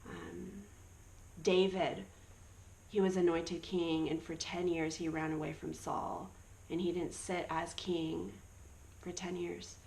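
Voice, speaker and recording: Woman in her thirties, personal testimony, earnest and encouraging, lowish voice. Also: little pitch movement, takes it slowly, creaky, a little mellow. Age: 30s. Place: Australia.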